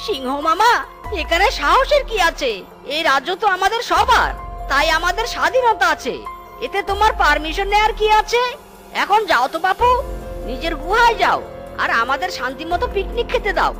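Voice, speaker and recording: Woman as a very high, swooping cartoon fox husband. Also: very animated, normal speed, over music. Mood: defiant. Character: lazy, good-humoured grown fox husband and father, a fisherman. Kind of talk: animated children's cartoon dialogue.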